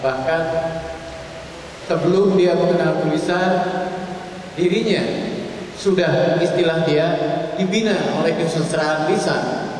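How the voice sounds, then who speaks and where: A man's voice: a little high, fairly even intonation, a little slow, pauses often, darkish tone. A man giving an informal literary talk to an audience as he introduces poetry readings at an event. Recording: very echoey hall, distant mic, hiss.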